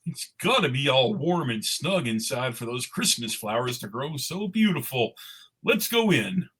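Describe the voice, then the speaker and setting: A man voicing a cheerful, naive snowman, gentle and jokey, in a playful cartoon table read. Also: medium pitch, very animated, unhurried, breathy edge, exaggerated voice. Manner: playful, earnest.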